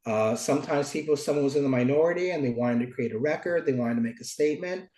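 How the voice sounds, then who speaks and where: A middle-aged American man, stuffy with a cold, giving deliberate, articulate interview answers as a local politician. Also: mid-range voice, warm tone. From Oakland, California, United States. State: stuffy from a cold, cough drop in mouth. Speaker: local politician, former mayor. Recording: roomy, echoing sound.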